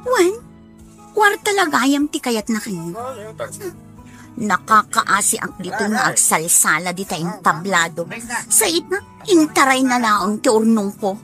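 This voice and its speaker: A woman's voice: medium pitch, expressive, unhurried, over music, close to an everyday voice. A woman playing a lovestruck, chatty young working woman in an Ilocano radio comedy-drama, dramatic and giggly, with an Ilocano accent. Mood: plaintive.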